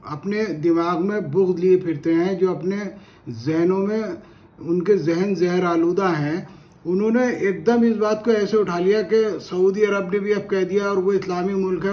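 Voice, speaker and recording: Higher-voiced man giving commentary. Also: a little mellow. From India. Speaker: commentator on current affairs. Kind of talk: spoken opinion commentary to camera.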